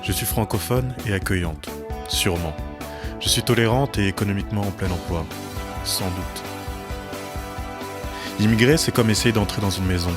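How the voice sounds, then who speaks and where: A man's voice: fairly low pitch, normal speed, long pauses, over music. A man reading scripted narration as the narrator of a report.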